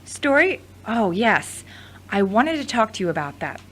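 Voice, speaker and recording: A woman's voice: fairly high pitch, very animated, measured pace, fairly bright tone, a little hiss or hum. Frank, teasing woman in an acted part. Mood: businesslike. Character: frank, teasing, supportive ex-girlfriend and studio manager. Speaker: actor in an audio drama. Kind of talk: conversational scripted audio drama acting.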